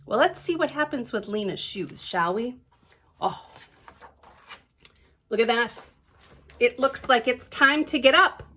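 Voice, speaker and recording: A woman with a high voice reads a picture book to young children at a slow, unhurried pace. Her delivery swings widely in pitch, stretching key words and dipping into whispers. She often breaks off to chat and ask the listeners questions. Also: lively intonation, bright, forceful tone, husky. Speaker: library storytime reader. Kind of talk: children's picture book read-aloud with chatty asides.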